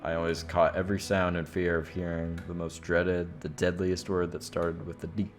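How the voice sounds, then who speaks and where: A man's voice: deep voice, little pitch movement, normal speed, soft background music. A man streaming a visual novel, reading the narration aloud with informal, casual asides.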